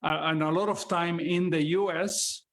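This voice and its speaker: A man's voice: fairly high pitch, steady tone, moderate pace, fairly bright tone, slight background noise. A man from Argentina with an Argentine accent, a poet and translator speaking and reading poems at an online open mic. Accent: Argentine Spanish.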